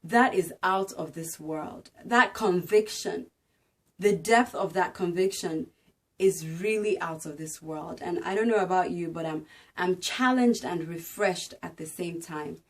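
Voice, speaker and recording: Slow, earnest woman giving a devotional. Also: fairly low pitch. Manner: earnest, emphatic, exhorting. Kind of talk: devotional talk and dramatic scripture narration.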